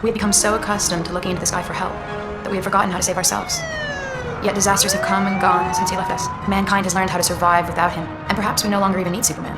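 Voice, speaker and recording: An actress playing an earnest, reflective journalist, reading dramatic scripted narration in a video game. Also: female voice, mid-range voice, racing, natural voice. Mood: solemn, reflective. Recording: loud music behind the voice.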